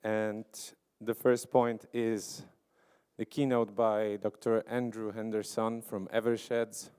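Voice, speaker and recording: A man hosting a live conference and introducing the keynote and panel, in an unhurried, slightly hesitant way. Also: lowish voice, little pitch movement, a little mellow, smooth. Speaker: event host introducing speakers. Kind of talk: event announcement. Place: conference venue, live event.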